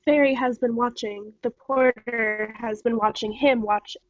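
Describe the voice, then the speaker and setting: A woman's voice: a little high, fairly even intonation, a little slow, no echo. A woman narrating a staged play reading, delivering stage directions as scripted narration over a video call. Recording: dull video-call audio.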